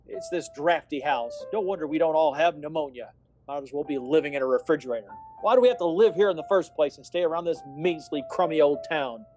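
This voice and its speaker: A man's voice: fairly high pitch, fairly quick, a little mellow, faint music behind, close to an everyday voice. An amateur actor playing a distraught, short-tempered family man in an old-time radio play, agitated and stammering. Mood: irritated, angry.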